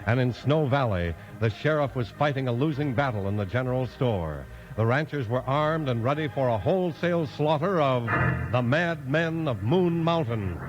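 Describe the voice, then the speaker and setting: A man's voice: medium pitch, animated, moderate pace. A man with an American accent doing emphatic, polished 1950s radio announcing and scripted narration. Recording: dull vintage 1950s radio recording.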